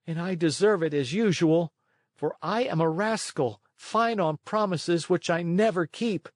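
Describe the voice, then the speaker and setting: A man voicing an impulsive wooden puppet boy in a children's storybook, earnest and excitable. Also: sits high, a little slow, no room sound, studio quality, pitched above the performer's own voice. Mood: guilty, fretting.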